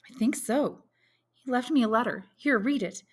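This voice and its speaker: A woman's voice: fairly high pitch, normal speed, voice pushed up, natural voice. A woman reading an audiobook, voicing an agitated, secretive society wife in an urgent, confiding way. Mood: anxious. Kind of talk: audiobook character dialogue.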